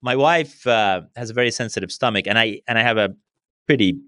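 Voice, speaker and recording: A man's voice: fairly low pitch, fairly quick, bright, forceful tone, clear, resonant tone, no room sound, clean studio sound. A man from Syria whose native language is Arabic, answering interview questions conversationally and repeating words as he thinks. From Syria, raised partly in Spain. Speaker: interview guest.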